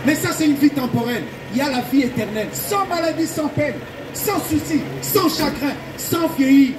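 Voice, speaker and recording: Very high-pitched, fervent male street preacher. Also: normal speed, background noise. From Belgium. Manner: urgent, exhorting, emphatic, repeats phrases. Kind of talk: fervent open-air evangelistic sermon.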